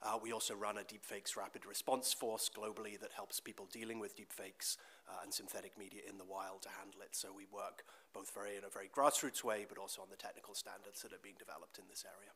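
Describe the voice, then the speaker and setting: A middle-aged man speaks earnestly and articulately on a conference panel, his voice sitting a little low. He talks into a table microphone in a conference hall, building long thoughtful sentences. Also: flat delivery, fast, bright, forceful tone. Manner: articulate, earnest, speeding up at times.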